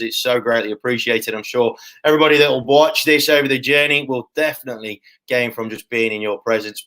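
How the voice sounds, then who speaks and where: A man's voice: mid-range voice, fairly bright tone. Man, interview host, chatty, lots of ums, effusive, mid-range voice. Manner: chatty, many fillers, effusive praise. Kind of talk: live show interview.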